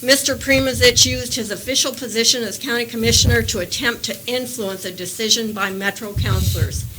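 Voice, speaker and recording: A woman giving measured, sharply critical public comment at a county meeting, partly reading aloud. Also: fairly high pitch, fairly even intonation. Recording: noisy public meeting recording.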